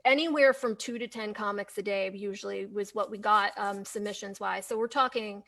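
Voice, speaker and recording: A woman's voice: medium pitch, normal speed, a bit bright. A woman hosting a casual livestream interview in a conversational way, often repeating and rephrasing as she talks. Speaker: host, comics editorial director.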